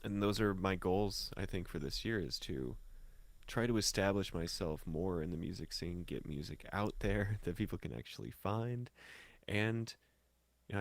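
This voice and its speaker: A man with a deep voice and plenty of gravelly creak talks as an interview guest. He is casual and reflective, and the sound is close and dry. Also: no echo. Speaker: interview guest, musician and voice actor.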